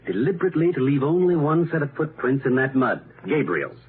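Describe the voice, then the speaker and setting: Old scratchy 30s radio show, guy playing a sure-of-himself american detective laying out the case, very animated. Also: a little high, natural voice. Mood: methodical, emphatic. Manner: deliberate, methodical, emphatic. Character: confident, brilliant amateur detective son explaining his solution. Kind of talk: 1930s radio mystery acting. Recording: noisy, narrow-band 1930s radio recording.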